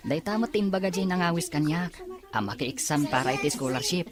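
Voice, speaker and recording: Woman playing a modest teenage boy, low voice, earnest, hopeful, Ilocano Filipino accent, audio drama, crowd chatter behind. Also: expressive, quick pace.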